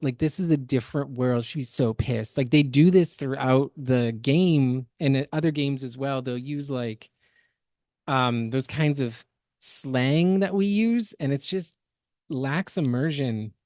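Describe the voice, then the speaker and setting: A man's voice: mid-range voice, slow, a little mellow, dry recording, studio quality. A man hosting a podcast with casual, critical and opinionated reaction commentary. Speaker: podcast host.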